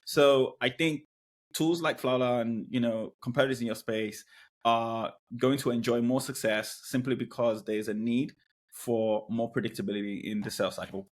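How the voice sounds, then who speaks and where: A man's voice: mid-range voice, fairly even intonation, normal speed. A man speaking as a podcast guest about sales leadership, blunt and opinionated, often laughing between points. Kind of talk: casual business podcast chat.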